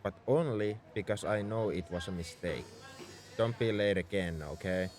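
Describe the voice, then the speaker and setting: A man's voice: fairly low pitch, normal speed, darkish tone, faint music behind, close to an everyday voice. A man playing a tsundere mech engineer in a roleplay audio drama, scolding and sarcastic with worry underneath. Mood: grudging concern. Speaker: voice actor.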